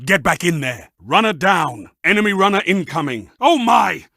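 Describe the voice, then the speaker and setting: High, swooping theatrical arena announcer. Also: male voice, big pitch swings, moderate pace, creaky, pushed and bright, no echo, clean studio sound, full character voice. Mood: excited, relishing. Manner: dramatic, exclamatory, savoring. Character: theatrical, fervent male arena match announcer urging on fighters. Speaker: match announcer. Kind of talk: short scripted game announcer calls.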